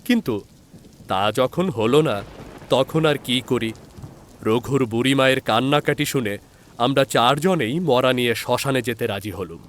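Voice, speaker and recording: A man narrating a scripted horror story in a dramatic, measured storyteller's manner with deliberate pauses. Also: mid-range voice, expressive, slight background noise. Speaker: story narrator and channel host.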